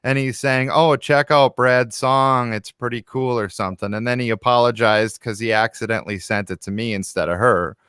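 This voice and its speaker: A man's voice: medium pitch, moderate pace, few pauses, fairly bright tone, clear, resonant tone, dry recording, clean studio sound. A man chatting casually and a little hesitantly as a musician guest on a live stream.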